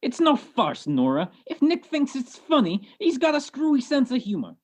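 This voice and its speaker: A gruff, wisecracking homicide sergeant , acted by a woman, from an old detective radio play. The delivery swings widely in pitch, with blunt slang and sardonic jabs at the suspects. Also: big pitch swings, moderate pace, a little mellow, full character voice. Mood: matter-of-fact, dry. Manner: sardonic, slangy, blunt tough-cop talk. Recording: video call recording.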